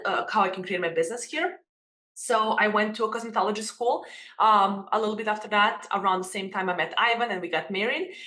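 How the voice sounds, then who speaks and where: Serbian woman in her 30s, bright sharp tone, open and earnest, restarting sentences, roomy video call sound. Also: medium pitch. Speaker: guest, makeup academy owner. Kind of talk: casual interview chat. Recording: echoey room.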